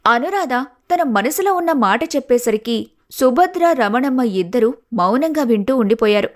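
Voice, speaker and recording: A woman with a moderately high voice serves as narrator. She reads a scripted family story, linking the scenes between the characters' dialogue. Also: lively intonation, normal speed.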